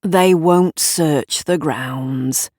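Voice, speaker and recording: Woman doing a shifty bloke, small-time crook, low voice, grudging n blustery, audio drama. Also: drawn-out words, a little mellow, dry recording, clean studio sound, full character voice. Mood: confident.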